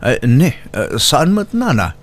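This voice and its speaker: A man's voice: medium pitch, wildly swooping pitch, slow, slight background noise. Man acting a hesitant, pleading young Ilocano husband. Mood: worried. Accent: Ilocano Filipino. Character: hard-working, apologetic young husband and father. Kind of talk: dramatic radio-style drama acting in Ilocano.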